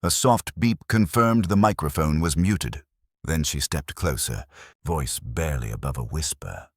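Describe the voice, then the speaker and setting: A man reading a scripted dramatic first-person story as narrator, in a measured, solemn manner. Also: rumbling low, husky, dry recording, studio quality.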